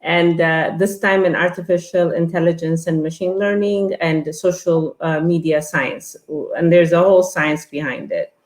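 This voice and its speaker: Woman, fifties, Ethiopian-born guest, deep voice, halting delivery, roomy echo. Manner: halting, many fillers and restarts. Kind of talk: casual interview talk.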